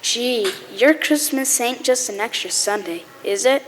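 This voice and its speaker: A woman's voice: on the high side, a little slow, slight background noise, close to an everyday voice. A woman voicing an eager, cheerful American orphan boy from the city with earnest, slangy street talk in a radio drama. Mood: cheerful, curious. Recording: echoey hall with long reverb.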